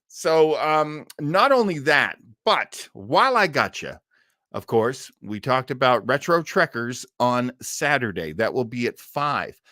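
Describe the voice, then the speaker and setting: A man's voice: mid-range voice, bright, forceful tone, no echo, clean studio sound. A man hosting a casual livestream, rambling enthusiastically as he answers viewer comments. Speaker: host of an old-time radio listening show.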